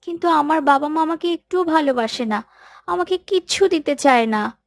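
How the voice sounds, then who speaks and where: Cartoon casting: woman as stubborn poor schoolgirl, high and slightly creaky, very dry sound. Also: moderate pace, no echo. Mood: sulky, complaining. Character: sulky poor little schoolgirl, stubborn and tearful. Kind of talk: children's moral cartoon voice acting.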